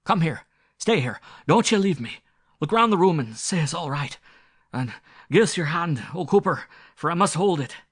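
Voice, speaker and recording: A man playing a loud blustering country squire. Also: fairly high pitch, lively intonation, normal speed, slightly rough, pitched above the performer's own voice. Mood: frightened. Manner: blustering, loud, then frightened and pleading. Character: hot-tempered blustering country squire, middle-aged man.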